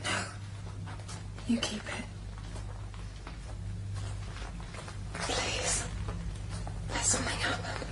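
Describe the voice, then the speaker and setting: Actress as a hushed, troubled young woman. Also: slow pace, background noise, natural voice. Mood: withdrawn, anxious. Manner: hushed, hesitant. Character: troubled, frightened young pregnant woman, withdrawn. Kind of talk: radio drama acting.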